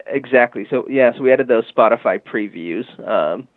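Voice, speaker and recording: A man's voice: medium pitch, moderate pace, dry recording. A man interviewed as a guest, explaining his company's product in casual talk, full of "you know" and "um". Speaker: guest from a music marketing company. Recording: tinny phone-line call.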